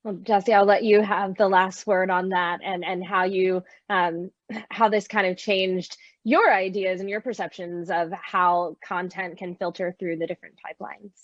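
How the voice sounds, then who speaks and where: A woman acts as moderator of a video panel conversation. Her voice sits at a middle pitch, in a dry, slightly dull recording, as she hosts and hands questions to guests. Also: steady tone, normal speed, no room sound. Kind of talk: hosting a video panel interview. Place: online streaming video conversation.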